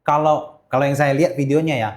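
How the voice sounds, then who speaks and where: A man's voice: fairly high pitch, fairly even intonation, fairly quick. A young man with an Indonesian accent in a casual podcast chat, speaking eagerly and repeating phrases for emphasis. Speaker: podcast guest, gemstone seller. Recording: roomy echo.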